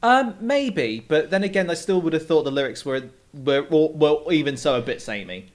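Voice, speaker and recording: A man's voice: fairly high pitch, lively intonation, moderate pace. A man co-hosting a music show, discussing songs in a casual, conversational way.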